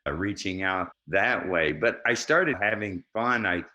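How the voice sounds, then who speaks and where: A middle-aged man with a deep voice, a guest actor telling stories in a relaxed interview, often laughing and restarting sentences. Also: a little slow. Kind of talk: conversational storytelling.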